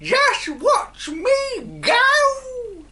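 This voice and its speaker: A woman voicing a screechy, gleeful old grandmother who whoops and shouts for more. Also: very high-pitched, very animated, very slow, voice pushed up, full character voice. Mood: wild glee.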